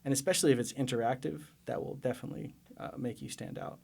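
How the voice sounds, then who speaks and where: Gravelly-voiced male podcast host. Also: mid-range voice, fast talker, a little mellow. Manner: encouraging, asks follow-up questions. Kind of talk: interviewing a guest.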